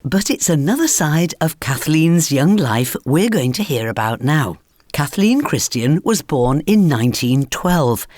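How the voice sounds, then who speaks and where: A woman's voice: sits low, big pitch swings, measured pace, darkish tone, no echo, studio quality. A woman hosting a radio programme, reading scripted narration in a storytelling way and addressing listeners directly.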